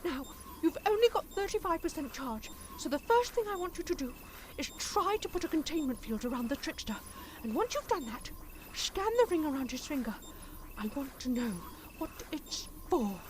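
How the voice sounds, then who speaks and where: A woman playing a determined, affectionate, resourceful adventurer in an audio drama, expressive and commanding. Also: sits high, moderate pace, a little hiss or hum, low-quality recording. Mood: resolute. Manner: expressive, thinking aloud, commanding.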